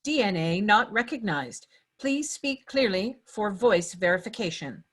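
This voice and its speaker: A woman at a table read voicing an impersonal AI security system with formal, measured announcements. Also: medium pitch, expressive, a bit bright, breathy edge, no echo. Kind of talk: screenplay table read acting.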